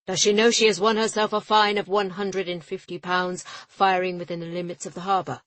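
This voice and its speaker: A self-important English port official, clipped and fussy, barking his complaints, as a woman puts him on for a storybook. His voice pushes loud and indignant, with a husky rasp to the tone. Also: on the high side, unhurried, no echo, voice pushed down, exaggerated voice. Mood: blustering, indignant. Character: pompous, blustering older male port official.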